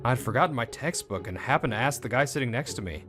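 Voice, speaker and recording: A mid-pitched young man's voice from an actor tells his own story as a comic dub lead. He sounds wounded and bitter, in scripted narration with music clearly playing underneath. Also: lively intonation, fast, natural voice. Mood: reflective.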